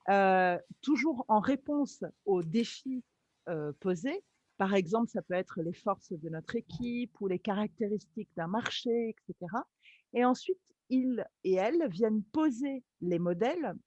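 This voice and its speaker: Higher-voiced woman on a dull, dry line. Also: no echo. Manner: lively, concrete, laughing easily. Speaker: webinar co-host and facilitator. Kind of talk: practical webinar explanation.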